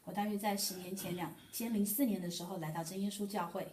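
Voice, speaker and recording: A woman interpreting a sermon testimony sentence by sentence in a brisk, matter-of-fact way. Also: mid-range voice, steady tone, darkish tone. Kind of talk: consecutive church interpretation. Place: church hall. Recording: echoey room from far off.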